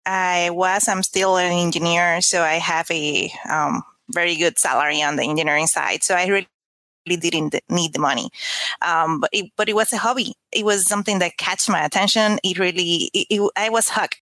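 A woman's voice: on the low side, steady tone, normal speed, bright, forceful tone, dry recording. A woman from Puerto Rico, a podcast guest giving short, earnest answers in casual interview chat.

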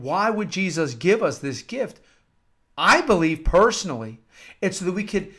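High-voiced American man preaching earnestly. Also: fairly bright tone. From United States. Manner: earnest, emphatic, repeats phrases for emphasis. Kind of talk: informal devotional talk with scripture-like readings.

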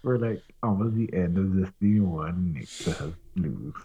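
Low husky laid-back gamer man. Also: lively intonation, unhurried, warm tone. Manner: laid-back, short replies. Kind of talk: casual gaming chat.

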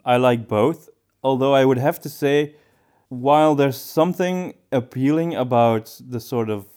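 Mellow-voiced man in relaxed discussion. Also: medium pitch, a little slow, clean tone. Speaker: podcast co-host. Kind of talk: casual discussion of storytelling.

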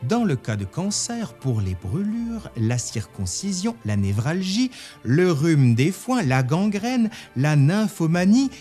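A man presenting history in scripted narration, reading out quotations in an articulate, expressive way. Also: a little high, takes it slowly, faint music behind.

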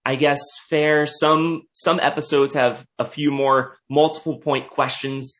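A hoarse young man hosting a podcast, speaking casually and hesitantly. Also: on the high side, little pitch movement, unhurried, a bit bright. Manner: hesitant, lots of uh fillers. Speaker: podcast host.

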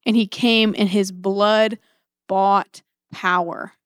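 A woman's voice: mid-range voice, steady tone, slow pace, clean tone, dry recording. Earnest woman in a close, dry recording. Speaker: co-host of a book discussion series.

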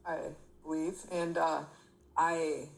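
A woman's voice: low voice, flat delivery, slow, gravelly, a little mellow, low-quality recording. A woman in her forties from Michigan, talking as a podcast guest in a chatty, self-deprecating way and laughing easily. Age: 40s. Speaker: guest athlete. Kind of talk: casual podcast interview.

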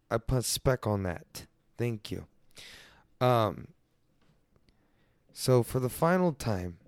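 A man's voice: on the low side, darkish tone, no echo, clean studio sound. A man hosting a crude solo comedy podcast, rambling loosely and swearing freely. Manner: profane, loose and rambling, mock-defensive. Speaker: podcast host.

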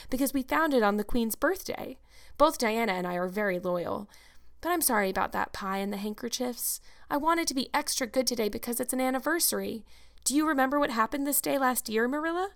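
An eager young girl, as a woman narrator puts her on in a storybook reading. The voice is high and effusive. She is earnest and imaginative, telling everything with dramatic feeling. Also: brisk, a bit bright. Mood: wistful, happy.

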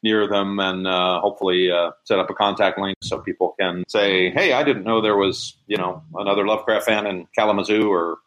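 Lower-voiced man in casual interview. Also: steady tone, fairly quick, hardly any pauses, a bit bright, poor audio. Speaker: interview guest, society co-founder. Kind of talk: casual explanatory interview talk.

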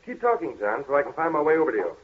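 An actor playing a commanding, stubborn gentleman in 1930s radio style, authoritative and theatrically indignant. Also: fairly high pitch, sing-song, very fast, slightly rough. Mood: wary, brisk. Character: commanding, stubborn, irritable gentleman master exploring dark house. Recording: tinny, noisy 1930s radio recording.

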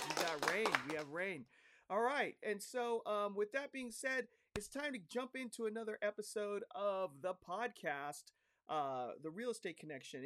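A man with a hoarse voice hosting a podcast, talking in an upbeat, chatty way with plenty of fillers. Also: sits high, bright, forceful tone, no room sound. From California. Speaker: podcast host. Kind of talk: casual podcast talk.

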